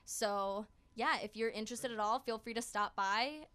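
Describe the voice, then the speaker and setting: Young woman, casual student guest, slightly high voice, informal interview chat. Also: moderate pace, sharp tone, clear, resonant tone. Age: young adult. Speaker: student improv club guest. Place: small radio studio.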